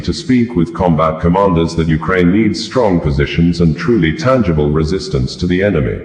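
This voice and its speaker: A man with a very low voice reads scripted news bulletins. The recording is clearly roomy, with echo around his words. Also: darkish tone. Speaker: news reader.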